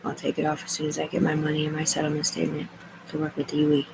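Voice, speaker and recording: A woman's voice: low voice, completely flat delivery, rapid-fire, gravelly, noisy background. A woman livestreaming, rambling as she reads aloud and makes asides to herself and her viewers. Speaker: live streamer.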